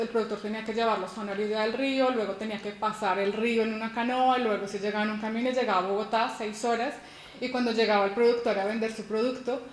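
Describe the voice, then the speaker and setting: Colombian woman lecturing in an echoey hall. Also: a little high. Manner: personal, explanatory, with restarts and rhetorical questions. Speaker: university lecturer giving a keynote. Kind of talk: conference keynote talk. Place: conference hall. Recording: echoey hall with faint noise.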